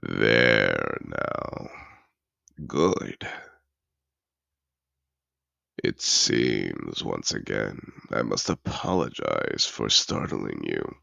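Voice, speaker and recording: A man playing a seductive, possessive dragon in human disguise in an intimate fantasy audio roleplay, teasing and courtly in a slow, gravelly murmur. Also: expressive, pushed and bright, no echo, heavily acted. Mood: soothing, apologetic. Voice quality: very deep, gravelly. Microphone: close, dry microphone.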